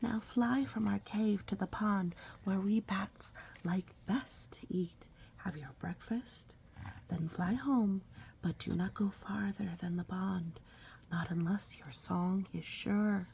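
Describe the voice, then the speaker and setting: A woman reading a picture book aloud to a young child, expressive and coaxing, often dropping into a breathy whisper and adding chatty asides. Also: medium pitch, slow pace, slight background noise. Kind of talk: storybook read-aloud with conversational asides to a child.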